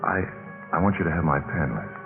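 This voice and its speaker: A man's voice: very deep voice, expressive, brisk, creaky, heavily acted. An actor playing a romantic young male college senior in a 1950s radio drama, courting with hesitant, repeated words. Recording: tinny 1950s radio recording, music behind.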